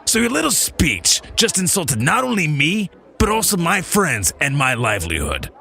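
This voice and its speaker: A man's voice: fairly high pitch, wildly swooping pitch, moderate pace, vocal fry, sharp tone, voice pushed up, full character voice. A man voicing a big, bald, bearded bar thug who is menacing, swaggering and foul-mouthed in an audio drama.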